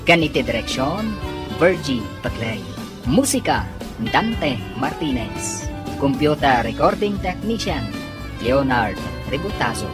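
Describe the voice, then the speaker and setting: A man's voice: mid-range voice, sing-song, unhurried. A man with an Ilocano accent announcing and narrating a radio drama in an emphatic, scripted theatrical style. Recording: loud music bed.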